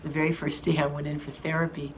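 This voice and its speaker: A hoarse woman giving a slow, halting update to her viewers from a hospital room. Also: low voice, flat delivery. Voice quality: slow, halting speech with many fillers. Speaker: vlogger giving a health update. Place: hospital rehab room with an air conditioning fan. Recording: noisy room with fan hum. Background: air conditioning fan.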